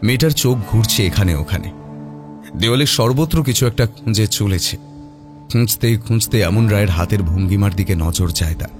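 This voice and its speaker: Casting: male horror-story narrator, low register, theatrical and suspenseful, over strong background music. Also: brisk. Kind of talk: dramatic scripted narration of horror mystery. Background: prominent background music.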